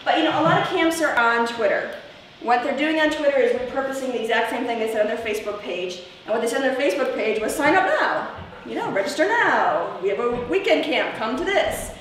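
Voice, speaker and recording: A woman's voice: high voice, animated, a little fast, hardly any pauses. A woman giving an informal conference presentation in a conversational way, checking in with her listeners through short tag questions. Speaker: presenter at a camp leadership workshop. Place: indoor room, presenting to a live group. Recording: echoey room from far off, faint noise.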